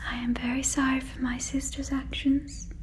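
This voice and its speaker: A woman playing a gentle, curious, naive female alien in an ASMR roleplay, in a breathy whisper with stilted, formal, careful phrasing, close on the microphone. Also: high-pitched, flat delivery, moderate pace, a little hiss or hum, natural voice. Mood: gentle, apologetic. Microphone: close fluffy microphone.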